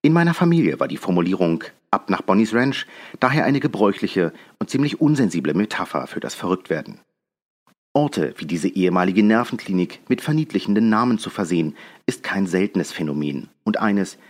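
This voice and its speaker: Male essayist from Berlin, very low voice with lively melody, measured reflective read. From Berlin, Germany. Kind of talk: scripted first-person essay narration.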